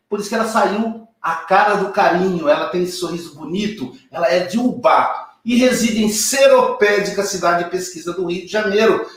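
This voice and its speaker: A man hosting a live online show, warmly and effusively introducing his guests. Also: high voice. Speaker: host. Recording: very echoey, distant from mic.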